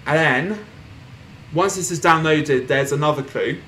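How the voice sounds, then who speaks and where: A young man casually commenting on a desktop game as he plays, reading posts aloud and laughing softly, recorded at home with faint background noise. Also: fairly high pitch, a little slow, rough recording. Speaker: gaming streamer. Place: small room at home, indoors.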